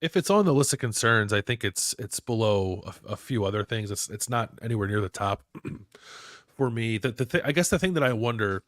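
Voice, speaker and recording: A man's voice: lowish voice, expressive, normal speed, a bit bright, dry recording, studio quality. A man co-hosting a sports podcast while he has a cold and is losing his voice, talking casually and hesitantly. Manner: hesitant, clears throat. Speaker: podcast co-host.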